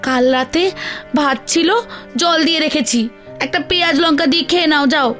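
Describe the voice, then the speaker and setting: A woman voicing a sharp-tongued, weary village wife in a cartoon, scolding and complaining theatrically. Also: sits high, moderate pace, soft background music. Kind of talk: Bengali children's cartoon dialogue.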